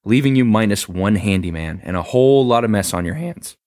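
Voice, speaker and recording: A man's voice: fairly low pitch, lively intonation, a little fast, a little mellow, no room sound, clean studio sound, lightly acted. A man voicing a stubborn, crude male handyman in a read-aloud story, speaking bluntly and protesting. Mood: grumbling.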